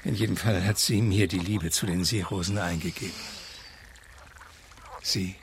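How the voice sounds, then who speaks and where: An actor playing a refined, ailing gentleman in his late fifties, reminiscing in a cultivated, wordy way in a German radio play. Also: deep voice, steady tone, moderate pace, a little hiss or hum. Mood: nostalgic. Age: middle-aged.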